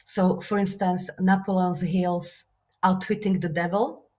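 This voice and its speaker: A woman from Slovakia with a Slovak accent, talking in an interview as a guest about business and books. She speaks earnestly and reflectively, with many fillers and soft laughs. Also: on the low side, little pitch movement, a little slow. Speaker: guest, business author and coach. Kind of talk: casual interview talk.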